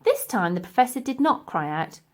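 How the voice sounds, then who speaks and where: A woman's voice: on the high side, sing-song, moderate pace. A woman narrating a children's storybook expressively as its reader.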